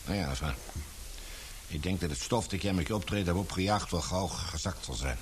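Casting: male actor as older press baron for radio drama, low-pitched, commanding, fatherly, faintly noisy recording. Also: fairly quick, natural voice. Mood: stern. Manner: commanding, patronising. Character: authoritative older male newspaper baron, brisk, fatherly mentor. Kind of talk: Dutch radio play acting.

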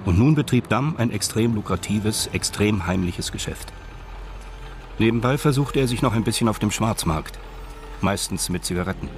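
An actor as the detached narrator of a radio crime drama, telling it in a measured, matter-of-fact way. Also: male voice, lowish voice, expressive, slight background noise, lightly acted. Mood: detached narration. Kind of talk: scripted crime story narration.

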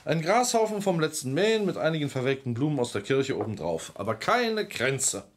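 An actor playing a sober, sceptical village police inspector in a radio crime drama, questioning and reasoning matter-of-factly. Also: male voice, fairly high pitch, sing-song, fairly quick, slight background noise. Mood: firm, reasoning.

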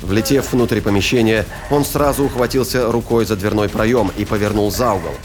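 Low-voiced male audio drama narrator. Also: steady tone, normal speed. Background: music and sound effects.

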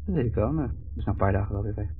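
An actor playing a placating, wry husband in a 1970s radio drama, soothing, teasing and at times hesitant. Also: male voice, lowish voice, animated, rapid-fire, breathy edge, soft background music. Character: placating, wry husband, timid younger brother. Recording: dull, distant old radio recording.

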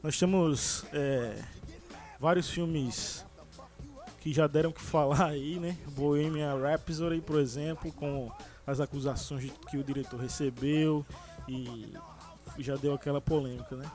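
A man's voice: a little high, steady tone, a little slow, a little mellow, soft background music. A man hosting a casual podcast about films, talking with many fillers and restarts. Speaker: podcast host. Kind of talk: casual movie chat.

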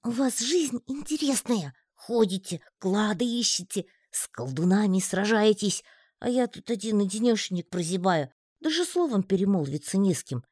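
A woman's voice: mid-range voice, expressive, a little mellow, husky, no echo, clean studio sound, voice pushed up. A woman voicing a tiny, lonely male spider who talks in a plaintive, pleading way for an audiobook. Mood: whiny. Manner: plaintive, pleading, quick to take offence. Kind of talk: audiobook reading.

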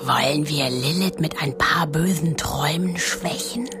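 Low whispery female demon minion. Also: measured pace, music in the background. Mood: sly malice. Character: scheming, whispering servant of a demon lord. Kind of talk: radio drama acting.

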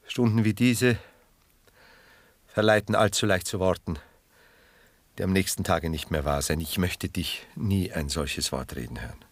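An actor playing a reflective, tender, melancholy older lover in a radio drama, speaking in a measured literary way. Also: male voice, deep voice, no room sound. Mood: earnest, restrained. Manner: measured, literary, musing delivery. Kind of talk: 1960s German radio drama acting.

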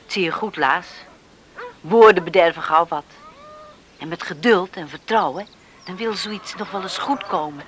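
A woman acting a caring, sensible farm matriarch in a Dutch radio play, in an expressive, reflective manner. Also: on the low side, a little slow, natural voice. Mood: concerned. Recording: noisy radio play recording.

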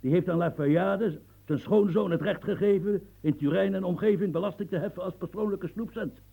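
An actor playing a proud, coarse old French marquis and marshal in a radio play, gruff and boastful, muttering one moment and shouting the next. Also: male voice, sits high, normal speed, exaggerated voice, poor audio. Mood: indignant. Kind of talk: radio drama acting.

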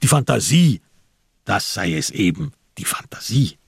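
A man with a gravelly, husky voice reading literary narration for a crime radio play. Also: fairly low pitch, sing-song, a little slow, no echo, clean studio sound. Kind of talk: scripted literary narration with reported speech.

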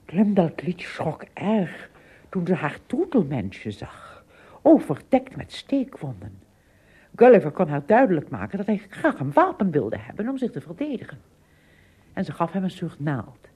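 Very husky, low-pitched female storyteller. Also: huge pitch swings, a little slow, mellow. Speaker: narrator. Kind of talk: scripted storytelling narration for a radio play.

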